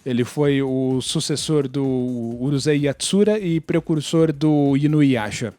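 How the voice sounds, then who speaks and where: A man's voice: mid-range voice, takes it slowly, warm tone, no room sound. A man with a Brazilian accent chatting on a podcast, explaining things in a wordy, digressive way. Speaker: podcast regular. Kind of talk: casual chat.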